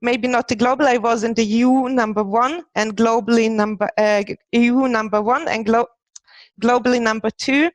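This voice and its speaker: Female podcast guest from Germany, thirties, recruiter, fairly high voice, dry headset sound. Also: steady tone, moderate pace, dry recording. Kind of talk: conversational interview. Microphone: headset on a video call.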